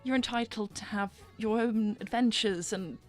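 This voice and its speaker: A woman plays a young cartoon cat princess, courteous and guarded, in a medium-pitched voice. Her phrasing is formal and careful, with apologetic hesitations, as in live improvised roleplay, and quiet music sits behind her. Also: moderate pace, close to an everyday voice. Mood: wry, concerned. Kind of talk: improvised in-character livestream roleplay.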